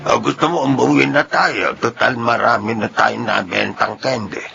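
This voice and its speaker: An actor playing a doting, hardworking old grandfather in a Filipino radio drama, speaking slowly and tenderly with an aged, gravelly voice. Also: medium pitch, fairly even intonation, bright, forceful tone, soft background music. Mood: tender, worried. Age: older. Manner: slow, tender, fussing. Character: doting, hardworking old grandfather who sells homemade candy. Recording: dull, slightly noisy radio recording.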